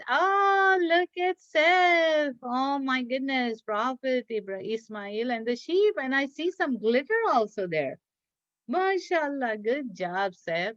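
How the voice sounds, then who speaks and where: A woman's voice: on the high side, a bit bright. A woman teaching a children's craft class over a video call, speaking in an encouraging way and praising her young pupils. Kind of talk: interactive online children's lesson. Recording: dull lo-fi online call, echoey room.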